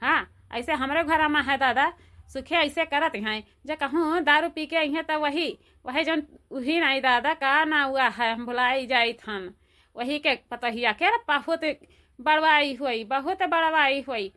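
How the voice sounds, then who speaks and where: A woman voicing an excitable, complaining, gossipy village woman in a cartoon, exclaiming and repeating herself in an Awadhi accent. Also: high-pitched, a little slow. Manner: repetitive, exclamatory. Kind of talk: amateur cartoon voice acting.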